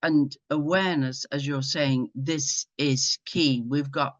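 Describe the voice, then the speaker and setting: A woman speaking as a patient advocate on an online panel, in a measured, deliberate way. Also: rumbling low, steady tone, lo-fi sound. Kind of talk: webinar panel answer.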